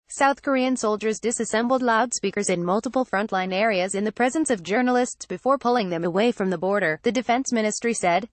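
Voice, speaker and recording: A woman's voice: medium pitch, dry recording. A woman reads scripted news narration in a formal, impersonal manner, like a written article read out word for word. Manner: formal, impersonal reading that includes photo captions verbatim.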